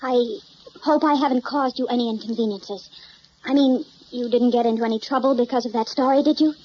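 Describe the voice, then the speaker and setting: An actress playing a poised, wealthy widow in an old crime drama, formal, composed and courteous. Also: female voice, fairly high pitch, fairly quick, close to an everyday voice. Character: poised, genteel, soft-mannered wealthy widow. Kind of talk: mid-century American crime drama acting. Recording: muffled, noisy old drama soundtrack.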